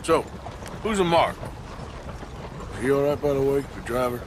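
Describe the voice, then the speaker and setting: An actor playing a gruff, modest, sardonic American outlaw in a video game, talking in a dry, folksy mumble. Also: male voice, mid-range voice, expressive, moderate pace, noisy background, lightly acted. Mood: skeptical, dry. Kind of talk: video game cutscene acting.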